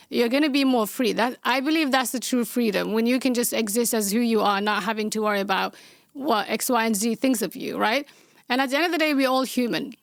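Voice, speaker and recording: A young adult woman from Somalia with a Somali accent, hosting a casual podcast Q&A and answering viewer questions in a blunt, outspoken way with sarcastic deadpan asides. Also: a little high, no room sound, studio quality. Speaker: podcast host.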